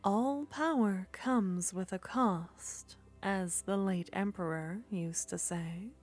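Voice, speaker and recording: A woman voicing a lonely, possessive young witch in an ASMR roleplay, soft-spoken and slipping into whispers as she coaxes and flatters. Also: medium pitch, takes it slowly, soft background music. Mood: coaxing.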